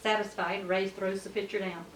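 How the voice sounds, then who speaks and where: A woman's voice: medium pitch, little pitch movement, normal speed. Echoey woman narrating a script. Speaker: narrator of stage directions. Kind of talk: scripted narration at a table read. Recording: echoey room, distant mic, faint noise.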